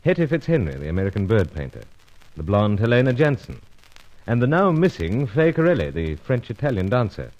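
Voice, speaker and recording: Stubborn gentleman spy, British accent, low voice, clipped old-fashioned radio drama diction, muffled vintage recording. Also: big pitch swings, brisk. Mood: grim, dramatic. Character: gallant, stubborn young British secret agent hero. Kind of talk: old-time radio adventure serial acting and first-person narration.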